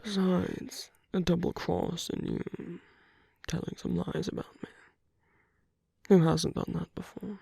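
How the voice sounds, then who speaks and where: A woman performs a flirtatious villain in an intimate audio roleplay. The voice is very husky and breathy, dark and low, slipping into coaxing whispers that are teasing and tender toward an enemy. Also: fairly even intonation, slow pace, no room sound, studio quality, full character voice. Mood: tender, earnest. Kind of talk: intimate ASMR roleplay acting.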